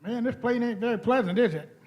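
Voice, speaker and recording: A brash young space traveler played by a man for a radio play. The voice is high for a man, with chummy, slangy, colloquial delivery. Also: a little fast, dull audio. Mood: wary.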